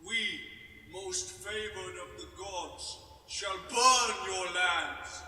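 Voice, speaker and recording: A proud northern warlord declaims solemn threats with zeal. The voice is raised high and very forceful, slow in delivery, ringing in long reverb as a fantasy monologue. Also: male voice, distant mic, full character voice. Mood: menacing zeal. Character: menacing northern heathen warlord, proud and zealous.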